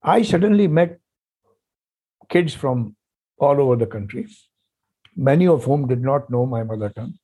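Emphatic man, dark husky voice. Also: mid-range voice, expressive, normal speed, long pauses, low-quality recording. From India. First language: Hindi. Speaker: panel guest. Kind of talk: panel discussion.

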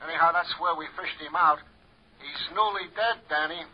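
A brisk police detective reports in a high, husky voice through a telephone filter. He sounds matter-of-fact, and it is all in a dull, lo-fi old radio recording. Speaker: police colleague on the phone. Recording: lo-fi old radio, phone line.

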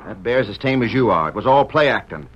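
An actor playing a blustering, scornful Old West sheriff with an American Western accent and a gruff, scolding manner, in an old-time radio western. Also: male voice, fairly low pitch, lively intonation, fast talker. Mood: impatient, urgent. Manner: gruff, scolding, folksy frontier insults. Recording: noisy, dull old radio recording.

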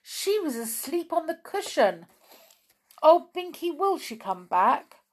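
Woman as Molly, high plaintive little girl. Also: very animated, takes it slowly, exaggerated voice. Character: anxious, tender-hearted little storybook girl Molly.

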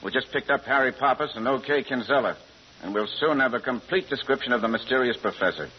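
An actor playing a businesslike police inspector, clipped and matter-of-fact, in an old radio drama. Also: male voice, medium pitch, fast talker, natural voice. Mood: dry, probing. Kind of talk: 1930s-40s radio drama acting. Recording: muffled, faintly noisy old radio recording.

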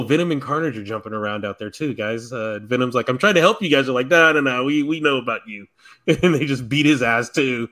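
A man with a middle-pitched voice co-hosts a casual podcast. He tells stories in an animated way, full of slang and occasional swearing. It sounds like loose fan chat among friends. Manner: animated storytelling, slangy, profane. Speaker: podcast co-host.

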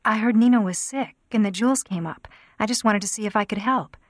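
A man's voice: sits high, brisk, no echo, clean studio sound, voice pushed up. A man voicing an eager, caring young woman.

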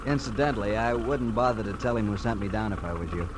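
An actor playing an easygoing, folksy small-town motorcycle cop in a classic radio drama, chatty and laid-back. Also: male voice, lowish voice, quick pace, close to an everyday voice. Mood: easygoing, friendly. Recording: street and engine noise behind.

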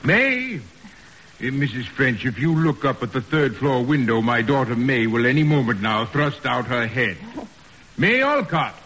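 Genial, lilting older gentleman. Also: mid-range voice, sing-song, a little slow, slightly rough, full character voice. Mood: helpful, calling. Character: genial, sociable older father, a New England gentleman. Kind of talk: classic American radio drama acting. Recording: noisy reverberant old radio recording.

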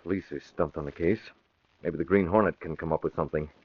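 An actor playing a resolute newspaper publisher and secret crimefighter, in the style of 1940s radio drama. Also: male voice, low-pitched, fairly even intonation, quick pace, breathy edge. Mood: concerned, decisive. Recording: muffled, hissy old radio recording.

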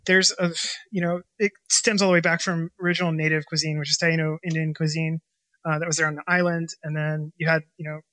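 A chef and restaurant owner from Washington State answering interview questions in a loose, rambling way, full of ums and restarts. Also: male voice, a little high, pushed and bright, no echo. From Washington State, USA.

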